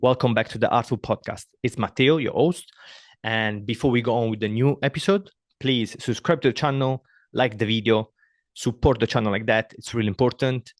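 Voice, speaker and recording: A man's voice: mid-range voice, fairly quick, dry recording, clean studio sound. A man from southern Italy, hosting a casual podcast interview and talking with many fillers and restarts. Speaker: podcast host.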